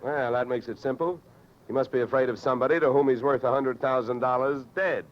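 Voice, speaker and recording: An actor playing a wry, unflappable insurance investigator in a clipped, matter-of-fact 1940s radio detective style. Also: male voice, mid-range voice, steady tone, a little fast, natural voice. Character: hard-boiled male freelance insurance investigator, wry, brisk, unflappable. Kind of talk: 1940s radio detective acting and first-person narration. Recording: dull vintage radio recording with music.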